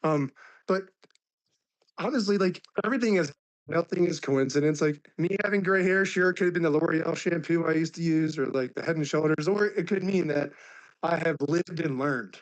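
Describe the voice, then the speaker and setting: A man in his thirties, raspy from laryngitis, talking earnestly on a live stream and saying "like" constantly. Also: on the high side, moderate pace, rough recording. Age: 30s. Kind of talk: casual heartfelt talk.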